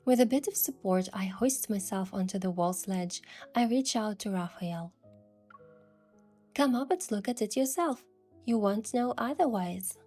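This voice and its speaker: Woman as mellow, soft-spoken young princess narrator. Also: mid-range voice, animated, a little slow, faint music behind. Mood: soft, descriptive, then curious, eager. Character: curious young woman posing as an indulgent princess. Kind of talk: scripted first-person story narration with acted dialogue.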